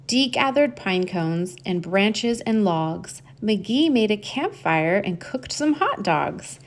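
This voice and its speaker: A woman reading a rhyming children's picture book aloud in an expressive storytelling style, drawing out key words. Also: mid-range voice, takes it slowly. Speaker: storybook reader and host.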